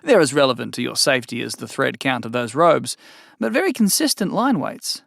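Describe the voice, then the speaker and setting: A man voicing a theatrical, sardonic eldritch demon in a drawn-out, booming delivery. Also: sing-song, creaky, fairly bright tone, dry recording, clean studio sound, full character voice. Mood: chatty.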